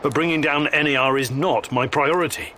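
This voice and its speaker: An actor playing an earnest, determined male scientist in a video game, speaking in a serious, measured, explanatory manner. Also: on the high side, sharp tone, slight background noise. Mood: firm, weary. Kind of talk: video game character acting.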